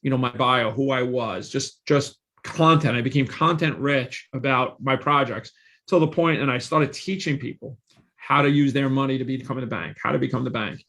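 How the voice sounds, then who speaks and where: A man in his forties with a gravelly, fry-tinged voice, talking over a remote call. He tells his story with confident, emphatic repetition, like a salesman pitching the listener. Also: moderate pace, a bit bright. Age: 40s. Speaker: real estate investor and guest. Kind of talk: podcast interview storytelling.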